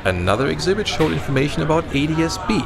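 A man's voice: a little high, big pitch swings, quick pace, creaky, a crowd in the background. A man reading scripted narration as the narrator of a video.

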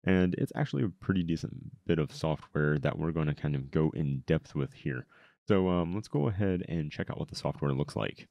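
A man giving a casual, upbeat software review into a close microphone. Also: rumbling low, lively intonation, moderate pace, creaky, dry recording, highs cut off. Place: indoor home streaming setup. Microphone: close boom-arm microphone.

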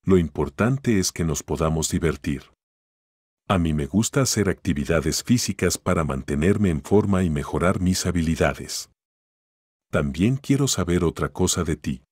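A man plays a tough cartoon echidna guardian with a low, husky voice. He speaks in simple, friendly phrases, as if on a phone call with a young fan. The sound is close and dry, and he comes across as a loyal hero. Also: animated, moderate pace, darkish tone, no echo. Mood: friendly, inviting. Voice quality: deep, husky. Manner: friendly, addressing a child listener in simple phrases. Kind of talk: scripted one-sided character phone call.

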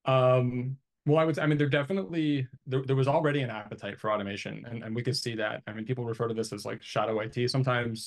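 A man in his thirties explaining things casually in an interview, thinking aloud in a conversational way, on a video call from a small room. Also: medium pitch. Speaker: interviewee, IT engineer. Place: small home office, indoors.

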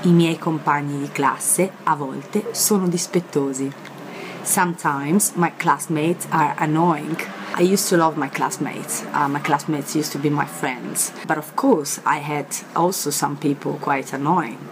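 A young Italian woman with an Italian accent, teaching a vocabulary lesson to camera in a chatty way, filled with pauses and fillers, recorded outdoors on a terrace. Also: low-pitched, steady tone, noisy background. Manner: chatty, many fillers, repeats words slowly. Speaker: language teacher.

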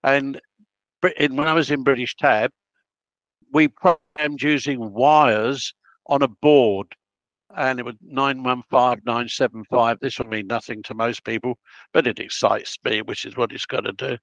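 An elderly man with an Australian accent talks in an unhurried, anecdotal way, often breaking off mid-sentence to restart. His voice sits at an ordinary pitch, and the recording is dry and slightly muffled. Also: steady tone, fairly bright tone, dry recording. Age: 80s. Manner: rambling, anecdotal, self-interrupting. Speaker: guest, project planner. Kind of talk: casual interview storytelling.

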